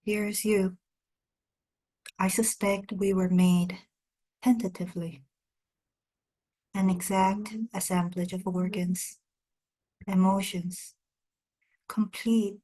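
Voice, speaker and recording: Slow-paced woman reading poetry. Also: lowish voice, little pitch movement, warm tone, rough recording. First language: Spanish and Korean. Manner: measured, deliberate, pausing between short phrases. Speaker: poet. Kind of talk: poetry reading with brief spoken introductions.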